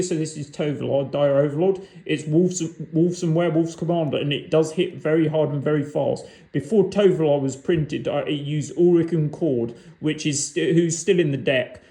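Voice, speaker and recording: A man casually walking through his card game decks on camera, rambling and halting, often restarting words. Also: on the high side, dark tone. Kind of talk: casual unscripted walkthrough. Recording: echoey room.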